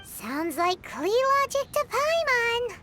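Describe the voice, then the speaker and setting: Squeaky, cheeky tiny fairy companion. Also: female voice, sing-song, slow, heavy background noise, full character voice. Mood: puzzled. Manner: refers to self in third person, chirpy. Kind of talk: anime-style game cut-scene acting.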